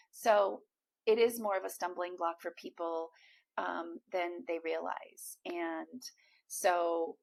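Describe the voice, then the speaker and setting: A woman speaks as a manifestation coach straight to her viewer, encouraging and chatty. Her voice is slightly hoarse and froggy, with a gravelly fry running through it. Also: fairly low pitch. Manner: encouraging, addresses viewer directly with fillers. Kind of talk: direct-to-camera coaching talk.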